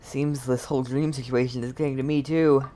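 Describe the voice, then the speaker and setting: A woman streamer giving casual gameplay commentary with joking asides and low murmurs. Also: steady tone, brisk, noisy background. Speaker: streamer playing a game.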